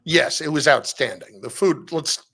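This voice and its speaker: Bright, forceful-voiced man chatting about sports. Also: on the high side, measured pace, slightly rough. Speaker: interview guest, sports radio play-by-play announcer. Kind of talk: casual sports podcast interview.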